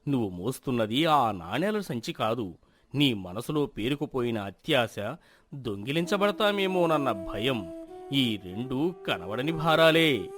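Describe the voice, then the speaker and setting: A man plays a learned village elder from a moral storybook, with lively, swooping intonation over clearly audible music. He is concerned and gently chiding, handing out counsel in measured phrases. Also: mid-range voice. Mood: wise, admonishing. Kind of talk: acted moral-story dialogue.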